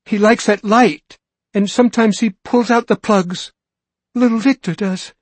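A man voicing a desperate young mother who pleads, high and close to screaming, to be let go home to her children. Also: fairly even intonation, unhurried, breathy edge, no echo. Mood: pleading.

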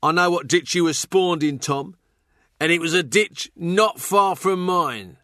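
A man voicing a scrawny, bitter court fool with a shrill London voice, mocking and taunting, in an audiobook. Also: unhurried, a bit bright, no echo, clean studio sound. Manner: mocking, jeering.

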